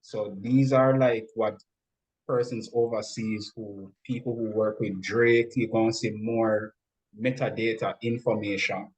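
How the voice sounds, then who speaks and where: A man speaking as an interview guest, explaining things in a measured, instructive way. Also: fairly low pitch, fairly even intonation, a little mellow, slightly rough, rough recording. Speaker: interview guest, music industry expert.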